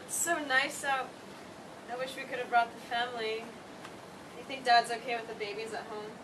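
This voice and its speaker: A woman's voice: fairly high pitch, little pitch movement, normal speed, a bit bright, lightly acted. A woman playing an emotional, guilt-ridden eldest daughter in a staged play reading. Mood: light, joking. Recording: distant mic, noisy room.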